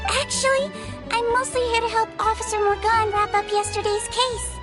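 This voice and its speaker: A tiny, kind-hearted creature nurse, speaking very high and softly. She talks gently and sweetly. Game music plays loudly behind her. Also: fairly even intonation, normal speed. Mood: cheerful. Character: small kind female Melusine head nurse. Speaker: head nurse. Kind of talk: game cutscene voice acting.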